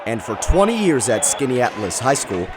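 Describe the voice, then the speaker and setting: A man's voice: medium pitch, sing-song, moderate pace, over a noisy crowd, heavy background noise. A man in his twenties delivering sports broadcast narration and commentary as a reporter and announcer. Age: 20s.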